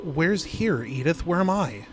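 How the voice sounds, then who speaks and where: A man's voice: on the high side, animated, a little slow, heavy background noise. An actor playing a bewildered, uneasy man on a phone call in a horror audio drama, asking urgently. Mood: confused, uneasy. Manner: confused, urgent questioning.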